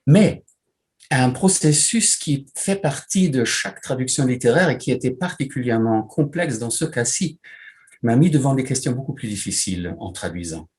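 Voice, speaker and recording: A man whose first language is German, a literary translator asking questions and giving measured, prepared remarks in an interview. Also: mid-range voice, lively intonation, a little mellow.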